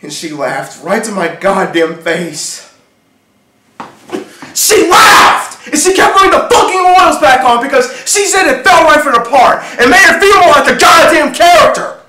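A man's voice: fairly high pitch, slow pace, far from the mic, voice pushed up. A man acting a jealous, obsessive, volatile husband in a profane, emphatic, brooding stage monologue. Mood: furious, accusing. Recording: echoey room with faint noise.

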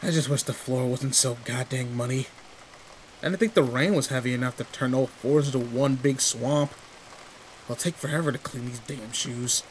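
A man's voice: mid-range voice, normal speed, slight background noise, lightly acted. A man playing a tall, protective, secretly sweet teenage delinquent in an intimate roleplay audio drama, talking in casual, teasing slang. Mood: grumbling. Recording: steady rain ambience behind voice. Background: rain in a forest.

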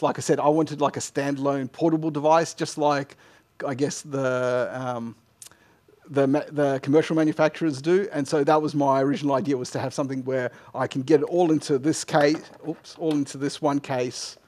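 A middle-aged man speaks at a conference in a slightly high voice. He gives informal technical answers, often stopping and restarting his phrases as he goes. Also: fairly even intonation, fairly quick, a little mellow. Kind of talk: informal technical Q&A after a talk. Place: indoor lecture room, at a lectern. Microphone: headset microphone.

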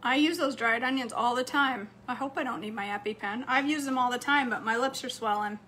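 A woman, chatting casually to her viewers from a home kitchen, slightly hoarse. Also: on the high side, a little fast, pushed and bright, a little hiss or hum. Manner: chatty, upbeat, talks straight to camera. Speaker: cooking vlogger. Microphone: phone camera close up on a counter.

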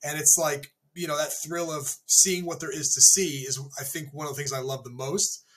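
A man's voice: on the high side, fairly even intonation, normal speed, fairly bright tone. A man in his forties talking earnestly and reflectively in a casual chat over a video call from his home office. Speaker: birding podcast co-host. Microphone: video call webcam.

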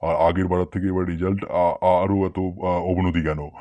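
A man voicing an excitable, comical cartoon schoolteacher with halting, stammering speech. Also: sits low, no room sound, lightly acted. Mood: amused, explaining. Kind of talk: cartoon comedy dialogue.

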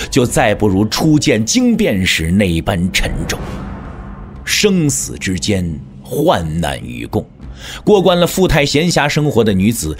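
A man's voice: mid-range voice, slight background noise. A man narrating a martial-arts story in a theatrical, scripted storytelling style. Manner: theatrical, expressive.

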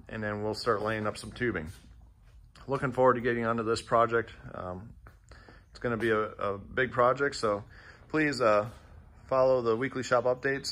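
A man who runs a fabrication shop, casually walking through the projects in his large indoor shop in a relaxed, plainspoken way, with many "uh"s and "um"s, recording on a handheld phone. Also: medium pitch.

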